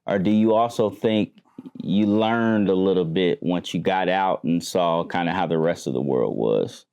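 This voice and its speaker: A man's voice: low-pitched, moderate pace, darkish tone, clean tone, highs cut off. A man hosting a discussion show, talking in a conversational way, affirming his guests and asking follow-up questions. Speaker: host.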